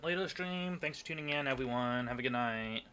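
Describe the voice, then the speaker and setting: A man's voice: medium pitch, expressive, a little fast, noisy background. A man hosting a livestream, chatting casually with friends and viewers. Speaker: livestream host.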